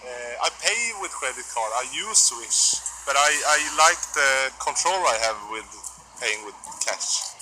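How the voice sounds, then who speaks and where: A man's voice: mid-range voice, expressive, a little slow, sharp tone, slight background noise, rough recording. A man, hesitantly weighing both sides in a street interview. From Sweden.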